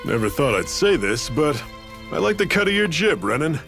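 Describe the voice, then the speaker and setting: Casting: seasoned rebel chief in a game, sardonic, commanding, expressive swings in pitch, light music behind. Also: male voice, normal speed. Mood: measured, probing. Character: seasoned, wry rebel leader, gruff but fair man. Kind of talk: video game cutscene acting.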